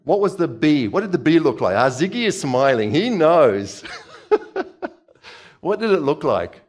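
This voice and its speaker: Chatty middle-aged preacher quizzing the audience. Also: male voice, fairly high pitch, very animated, a little slow. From Czechoslovakia. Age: 40s. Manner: chatty, questions the audience, moves about gesturing. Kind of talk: conversational sermon. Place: church hall stage. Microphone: headset.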